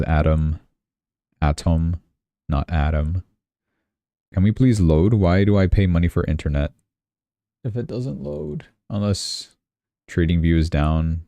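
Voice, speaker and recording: Very deep-voiced man, casual livestream host. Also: dark tone, no room sound. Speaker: host of a crypto news livestream. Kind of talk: casual live commentary on crypto charts and news.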